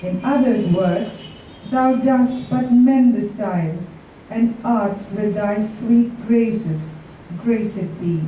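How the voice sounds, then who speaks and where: A woman reading poetry aloud with short casual introductions, in a deliberate, drawn-out delivery. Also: medium pitch, mellow. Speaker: poetry reader. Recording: echoey room, distant mic, faint noise.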